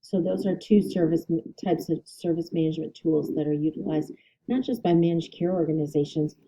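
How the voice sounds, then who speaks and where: A woman instructor giving a recorded lecture, her voice a little hoarse, explaining steadily with ums and self-corrections. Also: sits low, brisk, noisy background. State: slightly hoarse, clearing throat.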